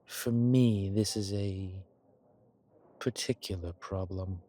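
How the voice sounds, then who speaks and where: A man playing a suave, grateful old vampire in a roleplay audio, speaking formally with pauses and quiet amusement. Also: deep voice. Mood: wry, confiding. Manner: genteel, formal, hesitant pauses, soft chuckles. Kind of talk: intimate one-sided roleplay audio drama.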